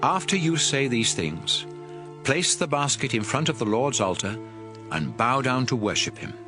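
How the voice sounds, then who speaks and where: A male narrator reads scripture in a medium-pitched, expressive voice that moves around a good deal. He is measured and authoritative, with music playing clearly behind him.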